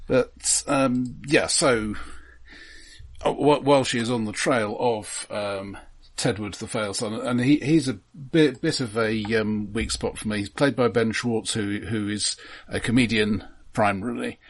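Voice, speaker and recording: A man with a lowish, somewhat husky voice discusses films casually as a podcast co-host. He is measured in delivery and openly opinionated. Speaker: film review podcast co-host.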